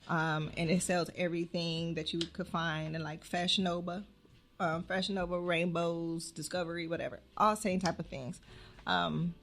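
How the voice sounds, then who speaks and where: Chatty woman with a low voice. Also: level tone, fairly bright tone, a little hiss or hum. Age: 30s. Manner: chatty, laughs often, drawn-out fillers. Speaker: podcast co-host and small business owner. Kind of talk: casual podcast chat.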